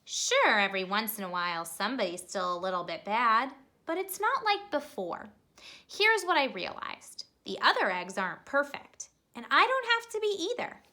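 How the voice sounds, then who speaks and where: A woman reading a children's picture book aloud, telling it expressively and pausing to ask young listeners questions. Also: a little high, sing-song, bright, forceful tone. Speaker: storybook reader.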